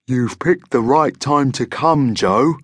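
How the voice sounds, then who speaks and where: A man's voice: mid-range voice, takes it slowly, breathy edge, dry recording, clean studio sound, voice pushed up. A man voicing a huge, friendly, chatty male monster who proudly runs a diner, in a children's story. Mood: proud, cheerful.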